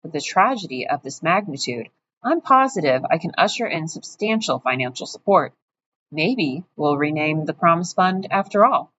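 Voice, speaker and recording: A woman's voice: low-pitched, expressive, moderate pace, a bit bright. A woman voicing a smug, pompous wealthy middle-aged man in an audiobook reading, talking boastfully and self-importantly. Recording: dull, muffled audio with faint hiss.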